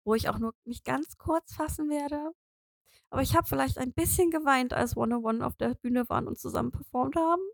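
A young native German woman co-hosting a casual podcast, rambling cheerfully with plenty of fillers and frequent laughs. Her voice sits a little high, and the recording is close and dry with no room around it. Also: fairly quick, gravelly, no echo. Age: 20s. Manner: chatty, many fillers, laughs often. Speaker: podcast co-host.

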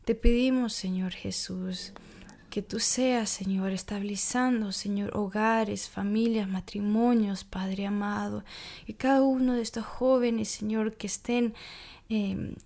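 Soft-spoken woman praying aloud. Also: medium pitch, steady tone, a little slow, vocal fry. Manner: soft, repeated invocations. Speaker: podcast co-host. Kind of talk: spoken prayer.

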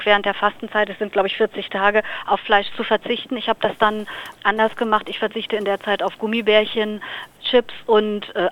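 Woman from lower saxony, chatty radio guest, middle pitch, bit dull sounding recording. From Lower Saxony, Germany. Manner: chatty, thinking aloud with fillers. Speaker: interview guest. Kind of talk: relaxed radio interview answers.

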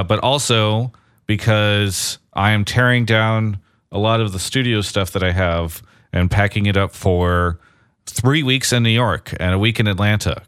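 A man's voice: fairly low pitch, a bit bright, smooth, no room sound, clean studio sound. A man co-hosting a podcast from his apartment, chatting casually with upbeat, joking banter. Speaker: podcast co-host.

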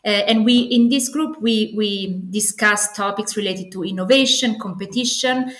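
The room rings around this woman's mid-pitched voice. She has an Italian accent and talks conversationally as an interview guest. She is enthusiastic, yet keeps pausing and rephrasing as she goes. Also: fairly bright tone. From Naples, Italy. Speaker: podcast guest, assistant professor. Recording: echoey, roomy sound.

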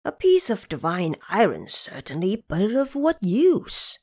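A woman's voice: medium pitch, huge pitch swings, a little slow, darkish tone, slightly rough, exaggerated voice. A woman voicing an old male Dragon King, nervous and deferential, in an audio drama. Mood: doubtful.

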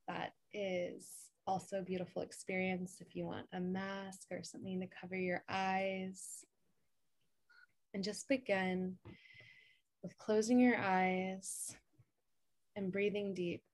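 A woman podcast co-host leading a calm guided meditation with spoken instructions. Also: medium pitch, slow pace, vocal fry, pauses often, dry recording.

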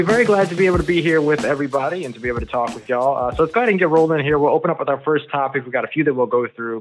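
A brisk man opens the roundtable and invites the guests to speak. Faint music plays under his voice, and he moves along at a good clip. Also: mid-range voice, poor audio. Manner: warm, welcoming host. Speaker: roundtable moderator.

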